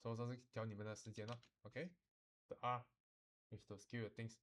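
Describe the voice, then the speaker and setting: Guy teaching software casually, instructional, keeps checking you get it, drops in lah. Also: on the low side, level tone, moderate pace. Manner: instructional, often checks understanding, uses particles like lah. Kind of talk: informal software tutorial mixing English and Mandarin.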